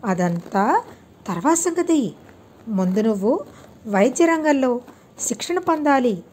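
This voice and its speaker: A woman's voice: on the high side, sing-song, unhurried, a little mellow, slightly rough, heavily acted. A woman voicing a greedy middle-aged village physician father in an audiobook story, reasoning and instructive. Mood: persuasive.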